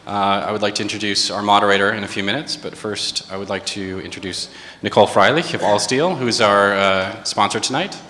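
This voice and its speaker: A man's voice: lowish voice, bright, forceful tone. A man hosting a panel event, introducing the sponsor and reading out the moderator's bio. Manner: rapid, reading a prepared bio. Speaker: event host, company CEO. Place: event space with long reverb. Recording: reverberant room, faint ambience.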